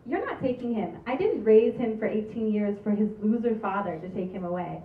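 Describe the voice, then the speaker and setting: Woman acting a scornful, animated protective mother. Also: mid-range voice, brisk, phone-quality sound, lightly acted. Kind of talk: live table read of a comedy script. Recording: distant, echoey, phone-thin recording.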